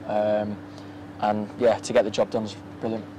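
A young man, a footballer, giving a soft-spoken post-match interview outdoors at a floodlit ground at night, with many ums and restarts, into a handheld mic. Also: lowish voice, little pitch movement, moderate pace, dull audio. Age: young adult. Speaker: professional footballer. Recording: outdoor ambience with faint noise.

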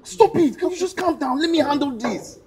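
A man's voice: extremely high pitch, animated, a little fast, crowd noise. An actor playing an anxious, polite young man with a Nigerian accent, pleading hesitantly and repeating himself in a screen drama. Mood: exasperated. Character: anxious, polite, pleading young boyfriend trying to keep peace.

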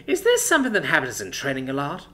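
Man doing a space trucker, awkward, hesitant, good-natured, bright voice. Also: a little high, wildly swooping pitch, quick pace. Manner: hesitant, filler-heavy.

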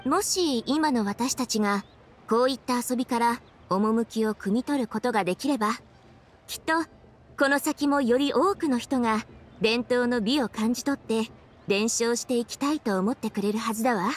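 Young female game official, played by an actress: high register, poised, earnest, explanatory delivery. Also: normal speed, a little hiss or hum, close to an everyday voice. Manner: composed, articulate, explanatory. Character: poised, thoughtful young woman official devoted to tradition. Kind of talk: anime video game voice acting.

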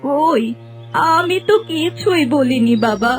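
A woman's voice: high voice, animated, takes it slowly, soft background music. A woman playing a frail, meek old mother-in-law in a cartoon folk tale, pleading in a weary, plaintive drawl. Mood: anxious, pleading. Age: older.

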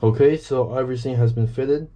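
A man's voice: medium pitch, steady tone, fairly quick, dark tone. A man giving a casual, step-by-step how-to narration as he works with his hands. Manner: plain instructional, talking while working with his hands. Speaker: host of a DIY boat how-to video. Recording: clearly noisy on-location recording.

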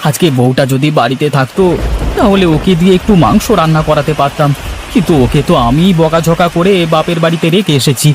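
A man's voice: a little high, a little fast, background noise, lightly acted. A man voicing a lovesick, food-loving village husband in a cartoon, with an exclamatory, sighing manner. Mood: wistful. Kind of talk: cartoon character acting.

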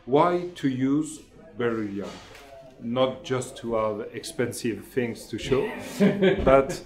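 A man explaining technical details to visitors in a factory, pausing often to search for words. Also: mid-range voice, lively intonation, soft background music. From France. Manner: halting, frequent uhs, searching for English words. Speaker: company engineer guiding a tour. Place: indoor factory workshop. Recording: roomy echoing workshop.

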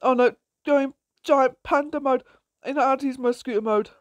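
A woman casually commenting on her own gameplay with a croaky voice, giggling and exclaiming often. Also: high-pitched, moderate pace, no room sound. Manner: giggly, excitable, frequent laughs and exclamations.

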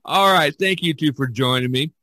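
A man hosting a podcast, talking casually in a halting, meandering way. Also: a little high, pushed and bright, no echo. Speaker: podcast host.

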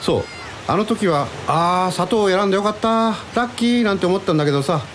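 A man's voice: high voice, a little fast, fairly bright tone, slight background noise. An actor playing an easygoing, wistful man in a radio drama, speaking casually and reflectively. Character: easygoing, wistful man confiding about lost love. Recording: music behind the voice.

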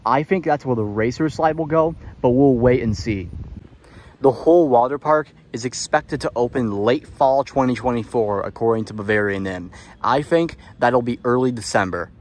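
A man's voice: medium pitch, normal speed, darkish tone, a little hiss or hum. A man narrating an update as a travel vlogger, talking in an informal, explanatory way. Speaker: vlogger, channel host.

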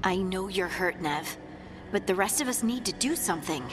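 A woman's voice: mid-range voice, lively intonation, moderate pace, close to an everyday voice, poor audio. Woman playing an urgent dwarf scout. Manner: earnest, pressing. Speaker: party companion. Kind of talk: video game voice acting. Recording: game dialogue over clear music.

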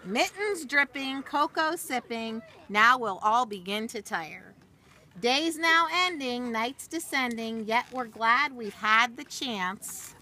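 A woman's voice: a little high, expressive, slow pace, bright, forceful tone. A middle-aged woman reading aloud to children as a storyteller, recorded outdoors on a camera a short distance away. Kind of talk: read-aloud of a rhyming picture book with casual asides. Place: outdoors in a snowy backyard. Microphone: camera or phone a short distance away. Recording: outdoor phone video, faint background noise.

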